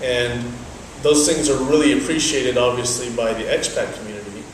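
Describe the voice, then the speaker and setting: A young man whose first language is Dutch, giving measured, explanatory answers in an interview. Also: medium pitch, a little hiss or hum. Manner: measured, explanatory, thoughtful pauses. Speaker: interviewee, business owner. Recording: very echoey, from a distance, faint ambience.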